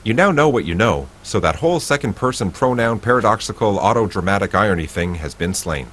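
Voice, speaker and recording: A low-voiced man reads a choice-based text game aloud, playful and animated, with his pitch rising and falling a lot. A faint hum of room sound sits under his voice. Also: fairly quick. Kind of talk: reading game text with asides.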